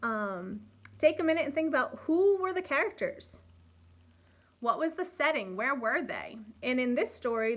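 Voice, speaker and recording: A woman with a fairly high, very bright voice speaks as a primary school teacher. She is expressive and instructive, guiding young listeners with questions. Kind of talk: read-aloud and lesson for young children.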